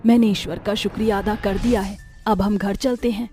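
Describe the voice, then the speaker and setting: A woman's voice: mid-range voice, fairly even intonation, fairly quick, dark tone, lightly acted. A woman plays a devoted older mother-in-law who is secretly a ghostly witch in a cartoon tale. The voice is caring, earnest and dramatic, with music behind it. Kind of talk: cartoon story character voice.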